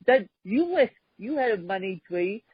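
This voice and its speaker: A woman hosting a podcast in casual conversation, talking haltingly with frequent pauses and fillers. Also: mid-range voice, big pitch swings, breathy edge, no room sound. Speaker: podcast host. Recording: dull, dry sound.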